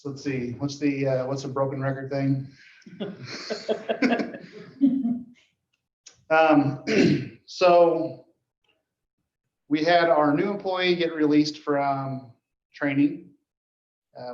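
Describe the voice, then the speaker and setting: Male, mid-pitched, informal meeting reporter with halting delivery, dull echoey room sound. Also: a little mellow. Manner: halting, frequent throat clearing. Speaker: dispatch director reporting to board.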